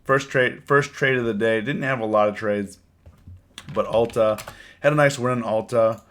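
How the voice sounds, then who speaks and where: A man with a cold and a hoarse voice, hosting a casual live stream and chatting with his viewers, often repeating his words. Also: mid-range voice, moderate pace, fairly bright tone. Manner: rambling, repeats words, reads chat aloud. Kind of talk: casual live stream chat about trading.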